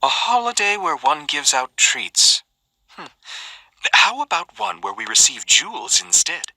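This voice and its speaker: A man voicing a haughty fantasy gentleman with formal, measured diction in a video game. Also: medium pitch, sing-song, husky, no room sound, full character voice. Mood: haughty, musing. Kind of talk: video game character voice acting.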